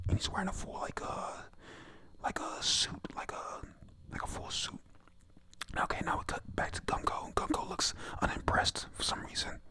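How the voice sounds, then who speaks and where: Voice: medium pitch, sharp tone. A speaker whispering a casual recap of a comic in the style of ASMR, hesitant and stumbling over names.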